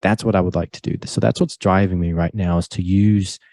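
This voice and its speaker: A man talking as a podcast guest in a casual interview, earnest and motivational in a steady way. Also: deep voice, fairly quick, no echo. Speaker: podcast guest, real estate broker.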